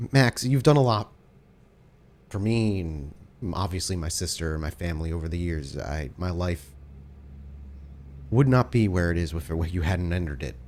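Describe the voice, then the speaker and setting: A man playing an anxious, devoted brother who speaks earnestly in halting, stammering starts. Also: low voice, a little mellow, a little hiss or hum, lightly acted. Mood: nervous, grateful.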